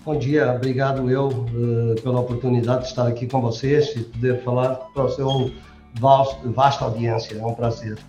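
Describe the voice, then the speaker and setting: A man's voice: mid-range voice, little pitch movement, moderate pace, warm tone, faint music behind. Portuguese man, hesitant, in a roomy space. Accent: European Portuguese. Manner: hesitant, many fillers and restarts. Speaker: resort general manager, guest. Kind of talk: interview answers. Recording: roomy, dull sound.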